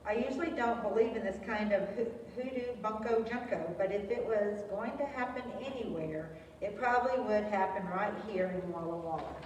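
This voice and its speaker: Deliberate school nurse played by a woman, very echoey. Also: mid-range voice, a little mellow, natural voice. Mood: concerned, folksy. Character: Missus Carter, kindly, no-nonsense school nurse. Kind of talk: readers theater performance. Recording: distant, echoey hall with faint noise.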